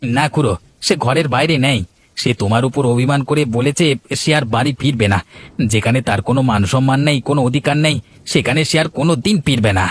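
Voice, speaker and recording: A man's voice: mid-range voice, fast talker, natural voice. A man voicing an earnest, loyal village uncle in a cartoon, urgent and pleading in his way of talking. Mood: earnest, reassuring. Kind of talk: cartoon voice acting.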